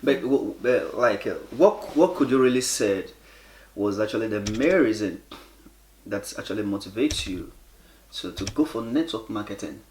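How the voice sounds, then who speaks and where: A man's voice: lowish voice, expressive, normal speed, a little mellow, reverberant, slight background noise. A man hosting a casual interview, asking long, stumbling questions and nodding along with short agreements. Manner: encouraging, many short yeahs, stumbling questions. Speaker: host, interviewer.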